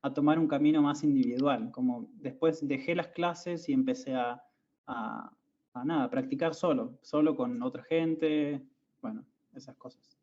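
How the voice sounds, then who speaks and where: Argentine man, mellow hesitant voice. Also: medium pitch, moderate pace. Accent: Argentine Spanish. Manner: hesitant, many fillers and restarts. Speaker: podcast host. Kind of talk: casual conversation. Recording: dull lo-fi audio.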